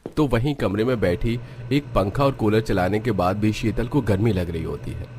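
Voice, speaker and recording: A man's voice: fairly low pitch, normal speed, darkish tone, slight background noise. A man narrating a scripted family story as a storyteller.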